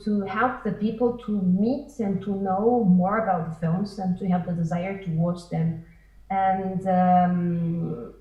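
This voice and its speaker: Casting: woman panelist, soft dark tone, halting non-native English, recorded at a distance in an echoey space. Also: medium pitch, dull audio. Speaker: film festival organizer, panelist. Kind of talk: unscripted panel discussion. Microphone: online call played in a room. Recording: roomy echo, distant from mic.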